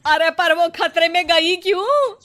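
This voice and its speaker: A woman's voice: very high-pitched, unhurried, a bit bright, soft background music. A woman voicing a greedy, scolding middle-aged mother in a dramatic cartoon moral story. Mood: outraged, threatening. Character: greedy, sharp-tongued middle-aged mother, quick to threaten.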